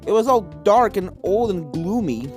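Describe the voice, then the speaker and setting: A narrator voicing a brash, excitable young female pegasus pony in a storybook reading, with emphatic, stumbling excitement. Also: mid-range voice, normal speed, over music, voice pushed up, natural voice. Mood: excited.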